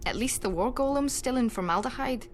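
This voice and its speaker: A woman's voice: a little high, lively intonation, a little fast, slight background noise, close to an everyday voice. A woman as a sarcastic student. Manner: sarcastic, blunt, wry. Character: sardonic young woman student, blunt and wry. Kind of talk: video game cutscene dialogue. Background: music.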